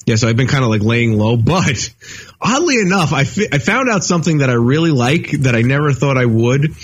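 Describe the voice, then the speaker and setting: A man's voice: mid-range voice, animated, a little fast, breathy edge. Congested man chatting on a radio show. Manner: rambling, filler-heavy, laughs often. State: congested, nasal from a cold. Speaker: co-host. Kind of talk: casual radio chat.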